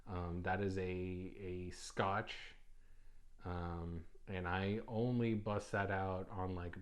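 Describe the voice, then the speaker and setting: A young man with a low voice talks loosely on his own podcast. He rambles and restarts often, filling pauses with ums and uhs. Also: steady tone. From United States. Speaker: podcast host. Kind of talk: casual solo podcast talk.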